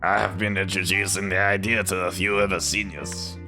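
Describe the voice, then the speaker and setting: A man's voice: sits low, fairly even intonation, brisk. A man co-hosting a let's play, reading visual novel dialogue aloud with playful ad-libs. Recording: music behind the voice. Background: guitar music.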